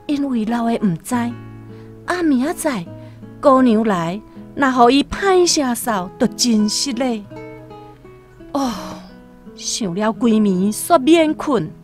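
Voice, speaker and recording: A woman with a Taiwanese accent narrating a scripted literary radio story expressively. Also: on the high side. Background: soft plucked-string music.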